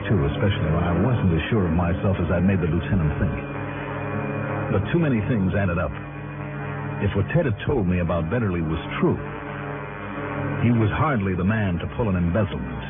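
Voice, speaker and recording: A man's voice: on the low side, close to an everyday voice. An American man playing a confident, dogged private investigator in a 1960s radio drama, clipped, assertive and wry. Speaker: radio drama lead actor. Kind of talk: 1960s radio detective acting with first-person narration. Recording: tinny old radio broadcast, music behind.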